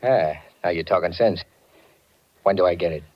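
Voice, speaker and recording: Menacing male blackmailer, deep voice, curt slangy threats, old radio drama, dull lo-fi recording. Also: moderate pace. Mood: smug. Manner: curt, tough-talking, slangy. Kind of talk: 1940s radio acting. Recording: dull, band-limited 1940s radio recording.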